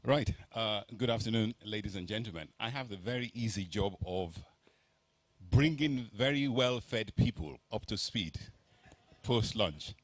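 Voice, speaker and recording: A man, opening a conference panel with dry, self-mocking humor and measured pauses. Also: fairly low pitch, no echo. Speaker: panel moderator. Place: conference hall stage.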